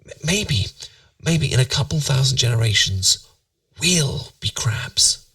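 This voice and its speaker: A British man playing a tender, playful boyfriend in a bedtime ASMR roleplay, musing softly and intimately to soothe his partner to sleep. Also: mid-range voice, animated, measured pace, creaky, no room sound, far from the mic, exaggerated voice. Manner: soft, intimate, soothing, musing, occasionally near whisper.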